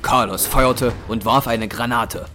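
A man's voice: medium pitch, steady tone, measured pace, over music. A man giving dramatic scripted narration for an audio drama.

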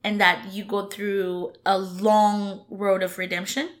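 A woman co-hosting a casual podcast chat, her voice hoarse, blunt and sassy, calling people honey. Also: medium pitch, flat delivery, slow pace. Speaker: podcast co-host.

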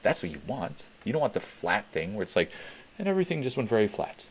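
Low-voiced man from Switzerland, swearing freely. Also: sing-song, quick pace, a little hiss or hum. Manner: candid, swears freely, emphatic repetitions. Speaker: webinar host. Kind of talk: casual Q&A talk.

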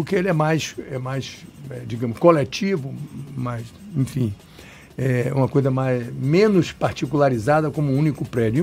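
A man's voice: fairly high pitch, a little mellow, slight background noise. A man from Salvador with a Bahian accent, an urban planner giving long, emphatic, digressive answers in an interview. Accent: Bahian Brazilian Portuguese. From Salvador, Bahia, Brazil. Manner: talkative, emphatic, digressive with restarts.

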